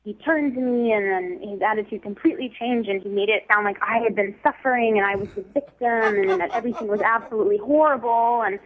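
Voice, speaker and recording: A woman's voice: medium pitch, quick pace, hardly any pauses. A woman on a radio phone-in, speaking bluntly and indignantly in a heated conversational way about a date. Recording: dull, band-limited phone call on radio.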